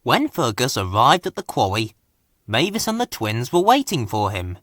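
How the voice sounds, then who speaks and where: Bright, very animated male storybook reader. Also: medium pitch, normal speed, dry recording, clean studio sound. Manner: expressive, dramatic storytelling. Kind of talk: scripted children's story narration.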